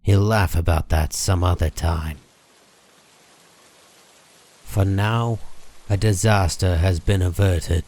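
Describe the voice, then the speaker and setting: A man narrating a dark comic book drama in a measured, solemn, deliberate way. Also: deep voice.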